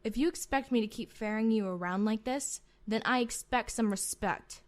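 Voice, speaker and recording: A woman's voice: on the high side, normal speed, natural voice. A woman acting as a proud, commanding female admiral in a sci-fi roleplay, curt and sardonic. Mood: defiant, stern. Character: proud, sharp-tongued female starship admiral, commanding and unafraid.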